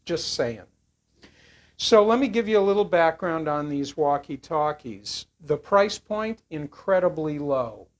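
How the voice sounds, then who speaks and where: An older man giving a casual, unscripted product demonstration, talking in a halting, rambling, matter-of-fact way. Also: fairly high pitch, animated. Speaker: product reviewer.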